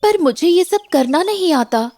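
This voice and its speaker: A woman's voice: very high-pitched, animated, moderate pace, a little mellow, no echo. A woman playing a modest, hopeful village wife in a moral-story cartoon, speaking simply and earnestly. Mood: unsure.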